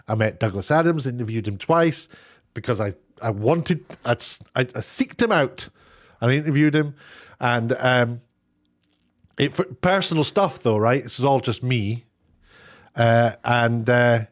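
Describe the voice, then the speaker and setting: A British man giving casual, opinionated commentary in a rambling, chatty way with sarcastic asides. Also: mid-range voice. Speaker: online video commentator. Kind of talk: casual opinionated commentary, reading out tweets and figures.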